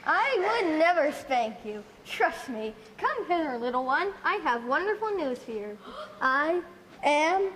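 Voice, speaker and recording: A woman's voice: high voice, very animated, a little slow, a bit bright, full character voice. A woman playing a sly crocodile. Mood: coaxing. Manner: coaxing, then gloating. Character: sly, menacing crocodile who lures a child. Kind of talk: stage play acting. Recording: reverberant hall, faint noise.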